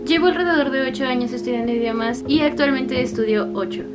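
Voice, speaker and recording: A woman's voice: sits high, fast, over loud music. A woman in her twenties with a Mexican accent, chatting directly to the viewer in a casual vlog about how she studies languages. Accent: Mexican Spanish. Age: 20s. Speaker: language-learning YouTuber. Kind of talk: casual explanatory vlog talk.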